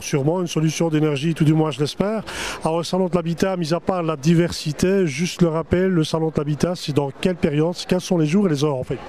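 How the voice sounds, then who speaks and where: A middle-aged man works the trade-fair floor with a handheld mic, talking fast in a chatty, salesman-like patter. His voice sits a bit high but sounds dark and mellow. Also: slight background noise. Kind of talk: promotional interview at a trade show. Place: exhibition hall stands. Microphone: handheld microphone.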